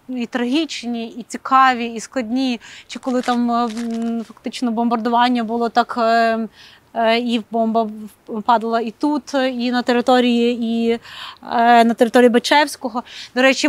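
A woman's voice: a little high, little pitch movement, moderate pace. A woman, an arts program director, answering thoughtfully with frequent hesitations. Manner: thoughtful, hesitant, many filler sounds. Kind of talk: interview answers. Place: rooftop outdoors, then indoor hall.

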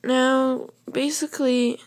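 A young woman with a slightly hoarse, fairly high voice walks through a drawing lesson step by step. Her delivery is casual and loose, full of fillers and quick self-corrections. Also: flat delivery. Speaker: drawing tutorial host. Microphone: camera over the drawing paper, speaker off frame.

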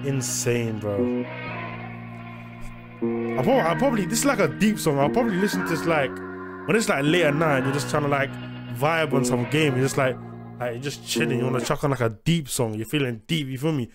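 A man's voice: mid-range voice, expressive, brisk, loud music behind the voice. A young British man giving casual, slangy reaction commentary as a host, emphatic and often repeating himself. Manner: repetitive, emphatic, informal.

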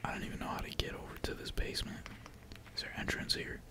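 Whispering man, casual gaming ASMR. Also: quick pace. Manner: slangy, swearing, casual. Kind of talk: whispered ASMR gameplay commentary. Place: indoors at home, at a computer. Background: keyboard typing.

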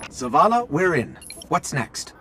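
A man's voice: a little high, very animated, unhurried, background noise. A man voicing a small, eager AI robot companion in a video game, alert and earnest as he reports. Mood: alert, reporting. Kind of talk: video game mission dialogue. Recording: loud game music and effects behind.

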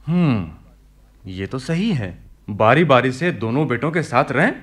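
An actor playing a practical, persuasive younger brother in a family radio play, reasoning and coaxing. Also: male voice, medium pitch, very animated, measured pace, a bit bright. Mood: grave. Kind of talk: Hindi radio play acting.

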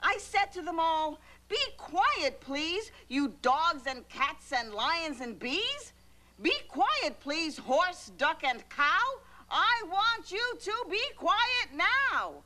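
An actress playing a gentle, encouraging mother, speaking expressively to young children in a children's show. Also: on the high side, big pitch swings, measured pace. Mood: animated storytelling. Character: gentle, encouraging mother reading a bedtime poem. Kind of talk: children's show acting and animated read-aloud.

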